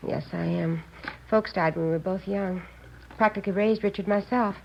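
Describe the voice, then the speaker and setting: A worried American woman in her thirties, played by a radio actress speaks hesitantly, pleading and protective, at a medium pitch. The old radio drama recording is muffled, with faint noise. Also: fairly even intonation, normal speed, gravelly, lightly acted. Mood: worried, evasive. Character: anxious, protective seamstress in her thirties, older sister. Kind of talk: 1950s radio drama acting.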